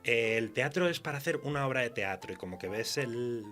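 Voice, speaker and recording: A man with a Castilian Spanish accent chatting casually and colloquially, often halting to search for words. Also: medium pitch, expressive, fairly bright tone, soft background music. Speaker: horror fiction writer. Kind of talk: casual conversation.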